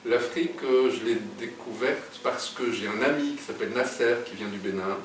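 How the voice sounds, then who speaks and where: A man's voice: mid-range voice, moderate pace. A middle-aged man, telling stories as an interview guest, hesitant, with many fillers and restarts. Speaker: guest writer. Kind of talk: casual interview storytelling. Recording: echoey room, distant mic, background noise.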